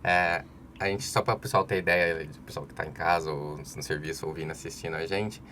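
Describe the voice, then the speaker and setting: A man's voice: low voice, steady tone, brisk, bright, forceful tone, slight background noise. A man hosting a podcast, opening the episode and introducing guests in a friendly, slightly hesitant way. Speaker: podcast host.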